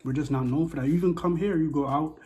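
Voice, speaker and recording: Mellow American-accented man, emphatic. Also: on the high side, fast talker, soft background music. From Bahamas and the U.S. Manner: emphatic, repeats phrases for stress. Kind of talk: casual opinion talk.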